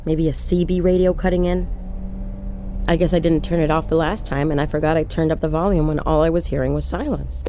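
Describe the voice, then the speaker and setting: A woman's voice: sits low, steady tone, a little fast, dark tone, a little hiss or hum, natural voice. An actress playing a lonely woman driving at night, confiding in a wry, digressive monologue for an audio horror drama. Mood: uneasy, confiding. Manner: wry, digressive, musing, breaking off into asides. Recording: music under the voice.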